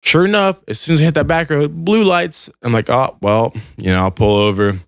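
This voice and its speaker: A man's voice: fairly high pitch, expressive, a little fast, gravelly, smooth, no room sound, clean studio sound. A young man telling casual, comical stories in an informal, slangy, meandering way. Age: young adult. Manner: informal, meandering, slangy, quotes dialogue in retellings. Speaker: podcast storyteller.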